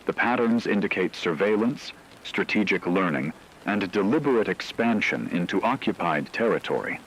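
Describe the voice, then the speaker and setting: A man playing a grave radio news anchor, delivering a scripted report in a solemn, formal, measured way. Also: sits low, lively intonation, fairly bright tone. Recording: noisy, rain-like background ambience.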